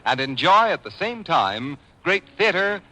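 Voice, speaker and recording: A man's voice: on the high side, big pitch swings, unhurried, pushed and bright, rough recording. A man with an American accent reading polished, emphatic sponsor announcements in a 1940s radio style. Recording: old radio broadcast, faint music behind.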